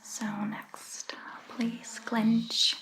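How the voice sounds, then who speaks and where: A woman performing a soft-spoken ASMR roleplay as a caring vampire doctor, whispering breathily in a gentle, reassuring manner. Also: fairly high pitch, completely flat delivery. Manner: gentle, reassuring, slow and deliberate.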